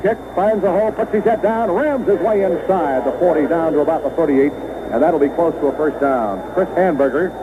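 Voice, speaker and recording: A man's voice: a little high, phone-quality sound, noisy background. An American man calling live football play-by-play on an old radio broadcast. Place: stadium broadcast booth.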